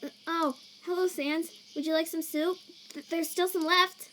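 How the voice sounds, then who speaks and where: A woman voicing a nervous female monster scientist in a fan comic dub, earnest and anxious, with a halting stammer. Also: extremely high pitch, fairly even intonation, fairly bright tone, slight background noise. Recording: chirping crickets and night ambience behind.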